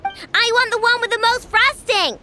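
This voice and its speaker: A woman voicing an excitable, cheeky young girl in a video game, with a girlish voice. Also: very high-pitched, normal speed. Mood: excited. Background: music.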